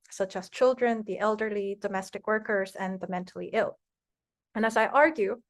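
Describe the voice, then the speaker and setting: A woman giving a scripted academic conference presentation in a measured, formal way. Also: mid-range voice.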